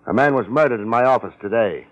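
A man's voice: mid-range voice, expressive, quick pace, natural voice. A man playing a sardonic, wisecracking private detective in a 1950s radio drama, speaking in terse, clipped sentences. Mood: needling, wry. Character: hard-boiled wisecracking male private detective. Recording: muffled vintage 1950s radio recording.

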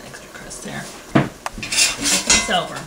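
A woman in her thirties hosting a cooking show, explaining each step in a plain, homey, unhurried way in a rustic wooden kitchen. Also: fairly low pitch, huge pitch swings, creaky. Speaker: home cooking show host. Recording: noisy home video with kitchen clatter.

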